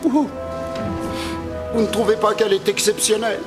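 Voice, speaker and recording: A man's voice: high-pitched, fairly even intonation, normal speed, over loud music, lightly acted. A man voicing a doting, gullible king in a fairy-tale cartoon, effusive and theatrical. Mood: doting, delighted. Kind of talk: animated fairy-tale dubbing.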